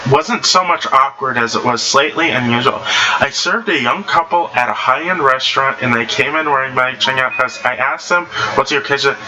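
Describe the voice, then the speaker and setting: A man with a mid-pitched voice reads stories aloud in a casual, unpolished way. He stumbles and goes back over phrases. The amateur recording has plainly audible noise behind him. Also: pushed and bright, low-quality recording. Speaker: host reading online stories aloud. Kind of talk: casual read-aloud with off-the-cuff reactions.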